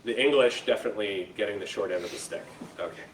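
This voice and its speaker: A man's voice: fairly low pitch, fast talker. An American man giving an informal educational presentation to an audience, talking conversationally and checking in with his listeners. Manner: conversational, checks in with "right?" and "okay?". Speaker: presenter, lecturer. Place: room at a school, speaking to an audience. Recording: roomy echo, distant mic, faint noise.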